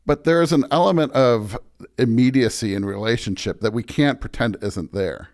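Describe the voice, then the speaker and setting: Halting, informal man in conversation. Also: medium pitch, a little mellow. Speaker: pastor, podcast guest. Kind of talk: casual conversational interview, reflective.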